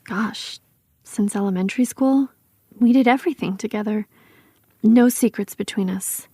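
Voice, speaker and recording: A woman playing a missing woman's protective, bitter best friend, talking in a casual, halting, emphatic interview style. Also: medium pitch, dark tone, husky, dry recording, clean studio sound, lightly acted. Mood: defensive, insistent. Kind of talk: acted documentary interview, conversational.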